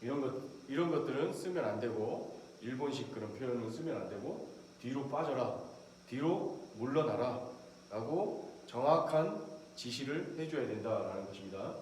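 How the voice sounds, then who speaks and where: A man's voice: medium pitch, lively intonation, normal speed, darkish tone. A middle-aged man, a professor, explaining a point in a recorded lecture and acting out short quotes from soldiers now and then. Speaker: professor lecturing on military leadership. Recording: very echoey room, faint background noise.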